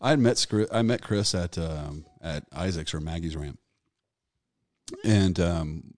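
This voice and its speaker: A man in his forties tells stories in a relaxed, loose way and swears freely. His voice is very low and deep. The recording is close and dry. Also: a little slow, no room sound. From Texas. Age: 40s. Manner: laid-back, profane, storytelling. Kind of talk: casual podcast conversation.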